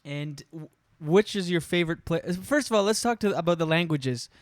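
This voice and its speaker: A man's voice: on the high side, normal speed, no room sound, studio quality. A man hosting a casual interview. He asks eager questions, gives short acknowledgments and laughs along with his guest. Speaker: host.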